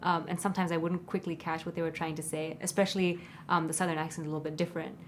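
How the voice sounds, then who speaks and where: A woman's voice: low-pitched, level tone, rapid-fire, a little hiss or hum. A woman from India, an international student, talking about adjusting to local speech.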